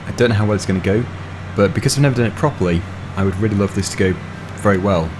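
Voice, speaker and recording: A young man, slightly hoarse, chatting casually through a game while thinking aloud with fillers. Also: on the low side, very fast, background noise. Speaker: gaming channel host. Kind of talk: casual gameplay commentary.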